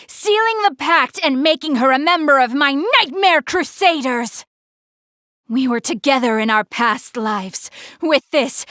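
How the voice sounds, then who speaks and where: A woman voicing a proud, easily embarrassed young goddess girl in an anime video game, expressive and quick to get flustered. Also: high-pitched, no echo, studio quality, heavily acted. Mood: theatrical, grandiose. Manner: expressive, easily embarrassed, hesitant then insistent. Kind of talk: English anime game voice acting.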